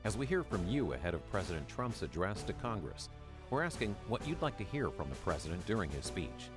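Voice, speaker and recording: A man's voice: very animated, brisk, music in the background. A male announcer reads a network promo with a dramatic, announcer-style delivery. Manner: deep, dramatic announcer read. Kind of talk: promotional voice-over.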